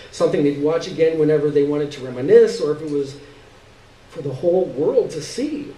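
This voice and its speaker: A man giving an expressive live reading of a literary story, with dramatic, halting pauses. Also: a little high. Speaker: story reader. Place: live stage reading indoors. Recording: roomy, distant stage recording.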